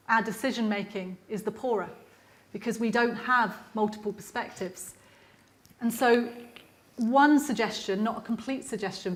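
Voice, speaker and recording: A woman lecturer answering audience questions at a public lecture in a measured, reflective way. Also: fairly high pitch, fairly bright tone.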